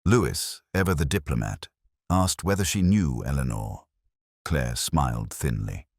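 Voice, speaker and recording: A man voicing a wry, literary middle-aged male detective, narrating a mystery in a measured, reflective first person. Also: rumbling low, lively intonation, no echo, clean studio sound, heavily acted. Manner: measured, reflective, quietly observant.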